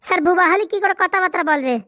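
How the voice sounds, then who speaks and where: High-pitched, very fast, fretful cartoon sidekick woman voicing him. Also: bright, forceful tone, no echo, studio quality. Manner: chattering, deferential, colloquial. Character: scheming, fretful male village sidekick with squeaky cartoon voice. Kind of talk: comic Bengali cartoon dialogue.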